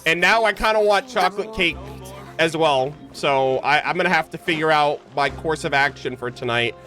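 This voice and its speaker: A man's voice: fairly high pitch, normal speed, pushed and bright. A man giving casual livestream commentary on a game in an enthusiastic, gushing way. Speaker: game streamer. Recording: game music behind.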